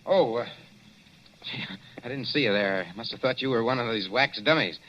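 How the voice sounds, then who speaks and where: A man plays a brisk young reporter with a bright, crisp tone who keeps asking pointed questions. It comes through a dull 1940s radio broadcast with faint hiss. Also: medium pitch, lightly acted. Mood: inquisitive.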